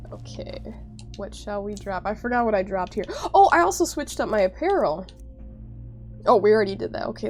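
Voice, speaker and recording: A woman's voice: mid-range voice, a little mellow. A woman streaming a game, chatting casually and directly to her viewers, sounding congested from a cold. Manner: chatty, talks straight to viewers with lots of "like". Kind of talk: casual live-stream gameplay chat.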